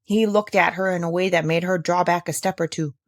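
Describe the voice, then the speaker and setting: A woman's voice: lowish voice, steady tone, brisk, no room sound. Woman reading a children's story, dry sound. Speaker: audiobook reader. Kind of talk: scripted children's story narration.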